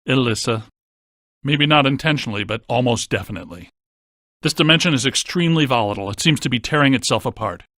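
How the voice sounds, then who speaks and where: Deadpan brainy ghost-hunting scientist man, dry recording. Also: mid-range voice, fast talker, no echo. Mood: deadpan, analytical. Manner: deadpan, precise technical wording. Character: brainy deadpan male scientist ghost hunter in a video game. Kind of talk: scripted video game voice acting.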